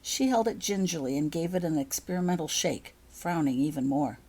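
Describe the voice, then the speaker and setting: A woman reading a story aloud as scripted audiobook narration, in an expressive way. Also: deep voice, fairly quick, slightly rough. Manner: expressive, acts out dialogue. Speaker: author reading her own fan fiction.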